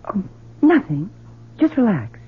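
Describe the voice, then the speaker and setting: A woman's voice: medium pitch, very animated, measured pace, husky, exaggerated voice. An actress playing a doting, defensive, overprotective mother and wife in an old-time radio drama. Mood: defensive, flustered. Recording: noisy, tinny old radio broadcast with hum.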